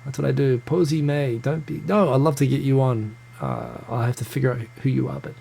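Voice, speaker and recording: A man's voice: medium pitch, a little fast, vocal fry, mellow, breathy edge. A New Zealand man in his thirties hosting a casual live stream, reading out viewer comments with chatty restarts. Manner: chatty, stumbling restarts, greets viewers by name. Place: home room in Tokyo.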